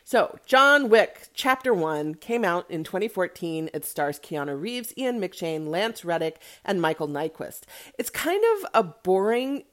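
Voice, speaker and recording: A woman hosting a casual, opinionated solo movie commentary, talking in a chatty, candid way with conversational asides. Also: medium pitch. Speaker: podcast host giving movie reviews.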